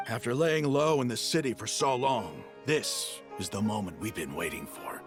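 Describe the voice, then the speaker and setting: An actor playing a loyal, battle-hardened male desert mercenary in a video game, gruff and deliberate with a gravelly voice. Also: mid-range voice, music in the background, close to an everyday voice. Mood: grimly eager. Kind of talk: scripted game dialogue.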